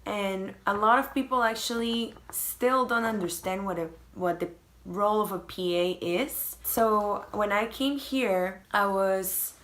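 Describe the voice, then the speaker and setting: A woman from Peru, answering interview questions in a thoughtful, slightly halting way. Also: medium pitch, fairly even intonation, fairly bright tone.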